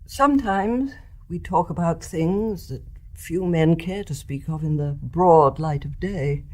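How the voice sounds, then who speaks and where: An actress playing a grave, resolute older British mother in a radio drama, speaking in a measured, refined and deliberate way. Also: lowish voice. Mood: grave, reflective. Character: grave, resolute older English mother confessing her deed.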